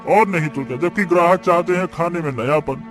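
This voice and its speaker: A man's voice: on the high side, brisk, lightly acted. A man playing a troubled but eager small-eatery cook in a cartoon moral story, speaking expressively and emphatically. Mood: exasperated, worried. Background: faint music.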